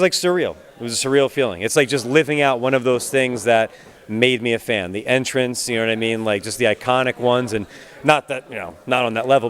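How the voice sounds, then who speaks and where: A man's voice: mid-range voice, fairly bright tone. An American man in his twenties being interviewed as a pro wrestler, talking casually in a chatty, cheeky way. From United States. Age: 20s.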